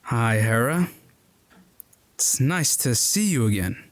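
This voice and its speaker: Smug male mercenary captor, taunting and mocking; mid-pitched, audio drama. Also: expressive, takes it slowly.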